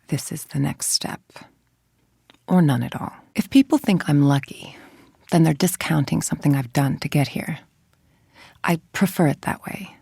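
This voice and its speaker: A woman playing a cold, ambitious scientist villain in a video game, speaking in a measured, precise, menacing way. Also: low-pitched, gravelly, darkish tone, slightly rough, no room sound, studio quality, exaggerated voice. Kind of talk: video game voice acting, monologue.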